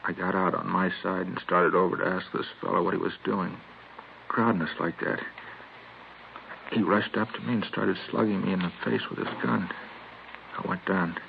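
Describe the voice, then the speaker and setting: An American man playing a shaken, wounded schoolteacher in his thirties, speaking haltingly and earnestly in an old radio drama. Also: low voice, steady tone, creaky. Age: 30s. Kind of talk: 1950s radio drama acting. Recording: tinny, noisy vintage radio recording.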